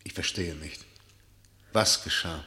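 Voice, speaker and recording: An actor playing a reserved state prosecutor in a radio drama, putting questions in a formal, hesitant way. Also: male voice, very deep voice, slow pace, bright, forceful tone, full character voice. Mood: hesitant, probing. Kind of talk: German radio drama acting.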